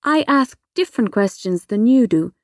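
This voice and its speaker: A woman's voice: on the high side, expressive, normal speed, a little mellow, no echo, studio quality. A woman voicing a chatty, blunt little girl of about five in an audiobook, full of childlike questions. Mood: matter-of-fact. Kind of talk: audiobook character voice.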